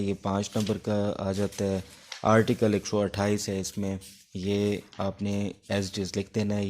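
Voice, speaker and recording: A man's voice: fairly low pitch, darkish tone. A man with a Pakistani accent giving an informal law lecture as a teacher, explaining step by step and switching between two languages. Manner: explanatory, code-switches between Urdu and English.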